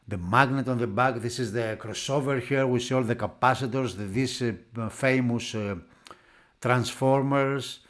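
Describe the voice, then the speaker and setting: A man who is not a native English speaker, narrating a product unboxing off the cuff in halting phrases. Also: mid-range voice, fairly bright tone. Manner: halting, piecing phrases together. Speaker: product reviewer.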